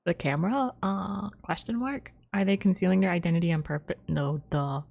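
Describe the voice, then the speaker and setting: A woman's voice: fairly low pitch, fairly quick, creaky, darkish tone. A woman streaming a game with casual commentary, tossing in offhand, dry asides.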